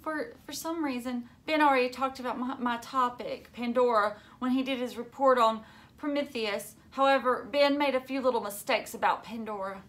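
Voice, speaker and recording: A woman's voice: on the high side, normal speed, pitched above the performer's own voice, lightly acted. A woman voicing a dramatic, stubborn, anxious schoolgirl in a storybook, with an insistent, prim manner.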